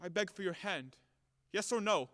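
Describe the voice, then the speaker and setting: A high, forceful male voice with big swings of intonation rings out in a long reverb. It is a man acting a blustering gentleman landowner in an audio play, hopelessly lovestruck, declaiming with melodrama and lurching between bluster and pleading. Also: lively intonation, moderate pace. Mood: fervent, desperate. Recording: long hall reverb, sometimes off-mic.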